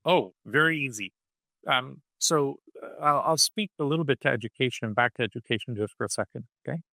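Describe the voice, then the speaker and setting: A man of middle pitch speaks as a podcast guest, keen to explain, and keeps checking in with the listener with a quick "okay?". The sound is dry and close, with no room around it. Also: vocal fry, bright, forceful tone, dry recording. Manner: punctuates points with "okay?" and "right?". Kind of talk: animated conversational explaining.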